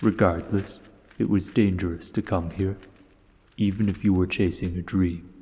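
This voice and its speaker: Man voicing a deep, ancient cavern dragon. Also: steady tone, mellow, lightly acted. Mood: musing, stern. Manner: slow, deliberate, musing. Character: ancient male dragon, huge, aloof yet hospitable, philosophical. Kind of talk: audio roleplay voice acting. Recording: cavernous echoey reverb.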